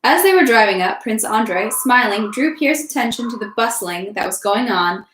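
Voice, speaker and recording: A woman's voice: fairly high pitch, expressive, moderate pace, a bit bright, slight background noise. A woman hosting an informal livestream read-aloud, speaking in a casual, everyday way. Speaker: livestream host reading a novel aloud. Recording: roomy, echoey livestream sound.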